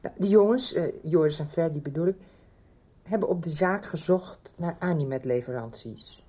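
A woman's voice: low voice, moderate pace, warm tone, slightly rough. An actress playing a worried woman confiding in a friend in a radio drama. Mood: concerned, explaining. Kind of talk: 1990s radio drama acting.

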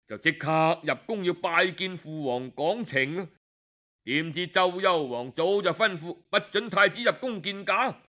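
A man with a Cantonese accent telling a historical tale in an expressive, colloquial traditional storytelling style. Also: a little high. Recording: dull lo-fi audio.

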